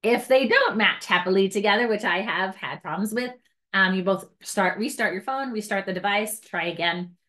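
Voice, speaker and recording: A woman's voice: mid-range voice, normal speed, a bit bright. A woman trainer walking guides through a device step by step in an informal, chatty way, with light self-mocking asides. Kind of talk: informal hands-on device tutorial.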